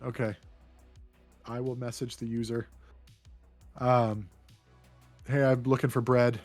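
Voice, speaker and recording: A man's voice: mid-range voice, level tone, slow pace, a little mellow, faint music behind. A man playing a tabletop game, asking the game master tentative questions. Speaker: player. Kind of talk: casual chat in a tabletop game.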